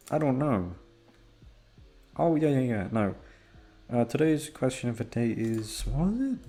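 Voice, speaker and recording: A young man chatting casually to his listeners in a quiet, low-energy, laid-back way, hesitant and swearing freely. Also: very animated, slow, pauses often. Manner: hushed, low-energy, keeping quiet for others in the house. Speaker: audio roleplay creator and host. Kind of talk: rambling casual chat to listeners. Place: at home.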